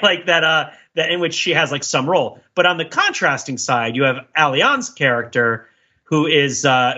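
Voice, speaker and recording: Husky guy podcast host, bright forceful voice, rambly casual analysis, bit dull recording. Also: on the high side. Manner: discursive, often seeks agreement with "right?". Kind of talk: casual analytical discussion of a TV show. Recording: slightly dull, lo-fi sound.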